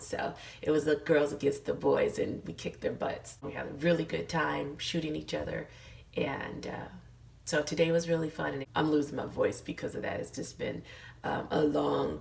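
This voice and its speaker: A middle-aged woman vlogging in a casual, encouraging way while losing her voice, hoarse and full of fillers. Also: deep voice, darkish tone, far from the mic, slight background noise. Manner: chatty, many fillers, earnest encouragement. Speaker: lifestyle vlogger. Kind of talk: casual motivational talk to camera.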